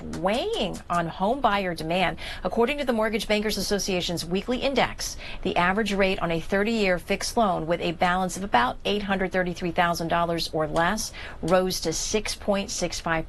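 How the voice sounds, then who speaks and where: A woman's voice: mid-range voice, fairly bright tone, a little hiss or hum. A woman correspondent delivering a money news report on live television.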